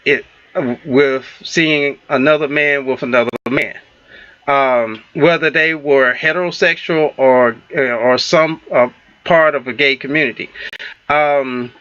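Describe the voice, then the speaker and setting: A man with a Southern US accent hosting a relationship talk show, halting with many fillers and restarts. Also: medium pitch, fairly even intonation, a bit bright, no room sound, dull audio. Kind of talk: casual radio talk show chat.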